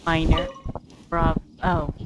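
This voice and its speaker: A woman's voice: low-pitched, monotone, slow, very noisy. A woman giving casual commentary as she plays a video game, musing aloud as she pieces things together.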